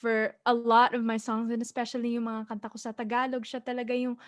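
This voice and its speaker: A woman's voice: fairly high pitch, flat delivery, fast talker. A young woman from the United States, a singer-songwriter answering interview questions in a friendly way, mixing with Tagalog. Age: young adult. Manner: bright and friendly, mixing English with learned Tagalog.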